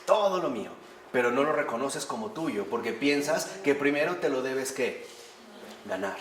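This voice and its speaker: Male lecturer, animated, asks the audience rhetorical questions, echoey room with faint background hum. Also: medium pitch, moderate pace. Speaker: priest teaching a class. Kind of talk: conversational lecture on faith.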